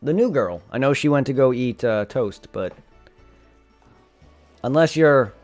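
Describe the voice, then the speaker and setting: A man's voice: mid-range voice, expressive, measured pace, a little mellow, faint music behind. A young man chatting casually on a live game stream, rambling and thanking his viewers. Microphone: desk condenser microphone.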